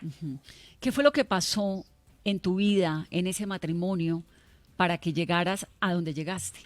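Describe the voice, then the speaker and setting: A woman's voice: on the low side, a little slow. A woman interviewing a guest on radio, asking direct, probing questions.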